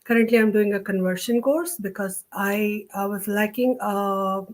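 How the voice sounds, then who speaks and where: A woman from Canada speaks as a student panelist on a video call. Her voice is mid-pitched, and her phrasing is halting. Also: fairly even intonation. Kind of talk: introducing self on an online panel.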